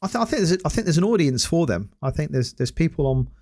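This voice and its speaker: A man hosting a casual solo gaming podcast, hoarse after hours of talking and rambling with many hesitant restarts. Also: a little high, very animated, quick pace, darkish tone. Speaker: podcast host.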